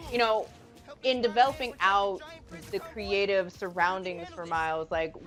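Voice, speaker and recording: A woman hosting a live game showcase, enthusiastic and stretching out her words for emphasis. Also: medium pitch. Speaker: stream host.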